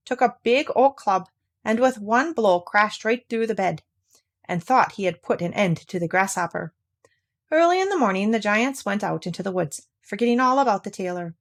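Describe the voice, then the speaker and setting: A woman storyteller reads a fairy tale aloud in a mid-pitched voice. It is scripted storybook narration.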